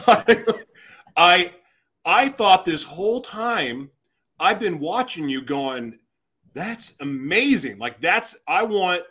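A man's voice: fairly high pitch, a bit bright, breathy edge. Enthusiastic male interview host. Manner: enthusiastic, effusive. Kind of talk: casual interview chat.

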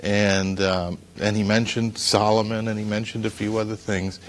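A man's voice: on the low side, little pitch movement, normal speed, low-quality recording. An American man in his sixties, telling stories conversationally as an interview guest. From New Jersey, USA. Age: 60s. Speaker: talk show guest, news editor.

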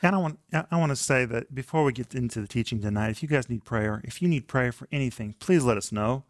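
A man co-hosts a studio ministry show, eagerly recommending books and study guides and urging viewers to ask for prayer. His voice sits at a middle pitch and sounds dry and close. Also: quick pace, dry recording. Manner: enthusiastic, promotes resources, urges prayer. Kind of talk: promotional and pastoral talk.

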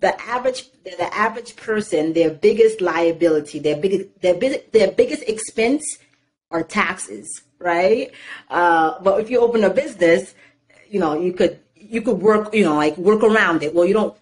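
A woman in her thirties, born in Haiti, telling her life story as an interview guest in an animated, casual way, with many fillers and repeated words. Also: medium pitch, normal speed.

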